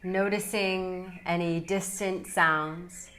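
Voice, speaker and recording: Very slow, low-pitched woman guiding meditation. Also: a little hiss or hum. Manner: calm, soothing, unhurried with long pauses. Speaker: guided meditation teacher. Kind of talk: guided yoga nidra meditation.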